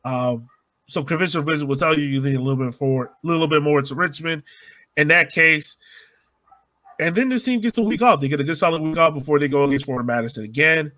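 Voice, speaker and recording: Male podcast host, informal and slangy, with a bright, fairly high voice for casual sports chat. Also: quick pace, no echo.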